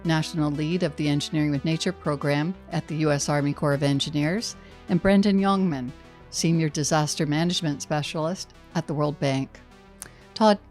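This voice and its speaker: A woman's voice: low-pitched, darkish tone. A woman hosting a podcast interview, introducing guests and asking questions in a composed, scripted manner. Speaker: podcast host.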